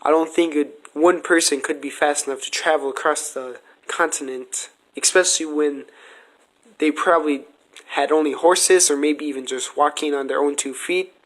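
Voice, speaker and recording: A man's voice: on the high side, fairly even intonation, moderate pace, a bit bright, low-quality recording. A man hosting a podcast, asking questions in a casual interview style.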